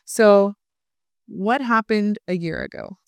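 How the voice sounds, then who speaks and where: Woman, forties, from Canada, podcast co-host, conversational, clear smooth voice. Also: medium pitch, takes it slowly, dry recording. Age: 40s. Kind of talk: casual chat.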